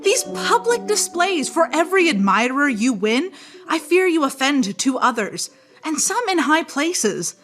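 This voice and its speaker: An effusive, fretting, teasing confidante in a radio play, played by a woman. Her very high voice is lively and melodic, theatrical in its delivery, with some music behind it. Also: measured pace, heavily acted. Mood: admiring, concerned. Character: admiring, worried, teasing close friend and confidante.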